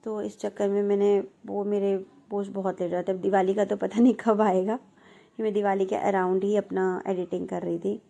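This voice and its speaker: A woman in her thirties from India, narrating her home vlog in a chatty, relaxed way, her throat slightly hoarse. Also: mid-range voice, a little fast. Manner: chatty, relaxed, self-teasing. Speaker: vlogger. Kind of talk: casual voice-over narration.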